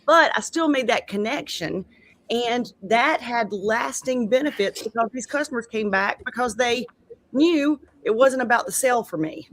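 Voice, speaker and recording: A woman chatting casually on a panel, lively and talkative with lots of filler words. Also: a little high, normal speed. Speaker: panel guest.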